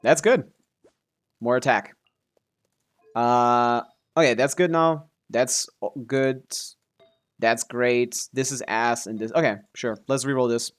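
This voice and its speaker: A man's voice: mid-range voice, steady tone, slow, pauses often. A man casually commentating on a game livestream, swearing freely as he reacts aloud and talks to himself and his chat. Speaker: game streamer.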